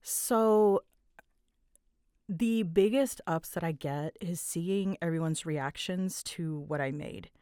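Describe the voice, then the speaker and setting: Young female creator, casual Q&A chat, a touch low-pitched, informal and giggly, very dry sound. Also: slow, dark tone, clear, resonant tone, no room sound. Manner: self-deprecating, giggly, informal. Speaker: voice actor and channel creator. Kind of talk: casual solo Q&A chat to listeners.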